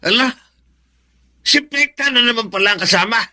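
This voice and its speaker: An actor playing a weary, grumbling middle-aged father and long-suffering husband with a raspy voice in a Tagalog radio drama. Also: very high-pitched, moderate pace, pushed and bright, heavily acted. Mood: exasperated. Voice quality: gravelly, raspy.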